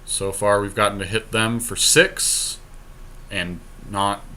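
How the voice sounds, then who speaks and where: Low-voiced, bright-sounding man. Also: steady tone, slow pace. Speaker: podcast co-host. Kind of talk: casual commentary on an online card game.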